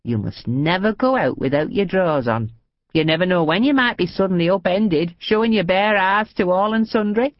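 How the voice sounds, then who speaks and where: A woman's voice: on the low side, expressive, moderate pace, no echo, dull audio, voice pushed up, natural voice. A woman doing a frail, cheeky old lady. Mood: mischievous. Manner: gossipy, cheeky, confiding. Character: frail chatty old northern woman, mischievous.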